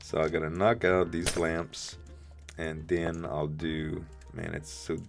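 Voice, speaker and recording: A man with a deep voice, a bit rough from the morning, casually thinking aloud while building in a game he hosts. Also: level tone, slow pace, over music. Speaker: let's play host. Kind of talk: casual gameplay commentary.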